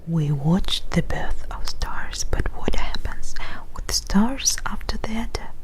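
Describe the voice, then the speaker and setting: Very slow whispering woman. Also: medium pitch, lively intonation. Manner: hushed, breathy whispering with lingering pauses between short phrases. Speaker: ASMR performer. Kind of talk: whispered ASMR narration.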